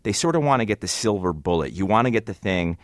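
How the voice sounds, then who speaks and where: A male radio host with a low-pitched voice, asking questions in a conversational interview manner. The sound is dry and close, with very little room. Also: expressive, fast, dry recording.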